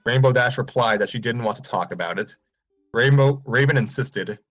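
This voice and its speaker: A man's voice: medium pitch, moderate pace, fairly bright tone, soft background music. A man reading fan fiction aloud on a live stream, breaking off for comic asides.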